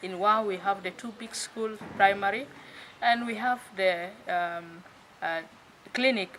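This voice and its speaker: Young nun, middle pitch, halting interview delivery, faint background noise. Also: female voice, fairly bright tone, low-quality recording. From South Sudan. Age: young adult. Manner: hesitant, pauses with many fillers. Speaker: religious sister and educator. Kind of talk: interview answers.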